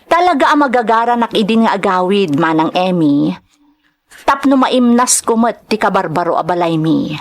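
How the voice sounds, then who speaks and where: A woman's voice: medium pitch, expressive, moderate pace, lightly acted. A woman with an Ilocano Filipino accent playing a homesick, troubled domestic worker abroad in a radio drama, speaking in an earnest, hesitant, plaintive way. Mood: sorrowful.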